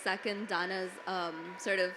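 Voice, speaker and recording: A woman's voice: mid-range voice, little pitch movement, unhurried, noisy background. A young adult woman from Toronto, speaking casually on a panel with lots of "like" and "you know". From Toronto, Canada. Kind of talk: panel discussion answer. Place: book fair panel stage.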